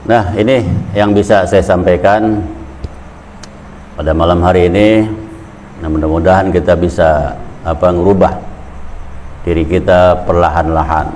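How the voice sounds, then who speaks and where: Male preacher, low register, chatty sermon delivery with repeated phrases and rising emphatic lines; faint room ambience behind. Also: fairly even intonation, measured pace, long pauses, darkish tone, slight background noise, poor audio. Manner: conversational, repeats phrases, builds to emphatic stresses. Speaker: Islamic preacher. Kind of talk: colloquial sermon at a weekly study gathering.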